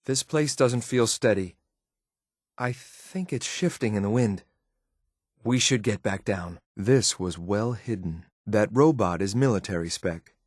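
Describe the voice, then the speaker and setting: An actor playing a stern, dutiful synth soldier in a video game, speaking in a formal, clipped, restrained military manner. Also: male voice, fairly low pitch, dry recording, clean studio sound. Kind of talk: scripted game companion dialogue.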